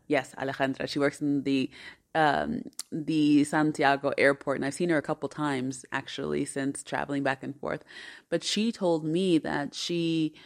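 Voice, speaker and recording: A woman in her thirties, a podcast host, telling a personal story in an earnest, chatty way. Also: sits low. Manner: chatty and earnest, with many fillers and restarts.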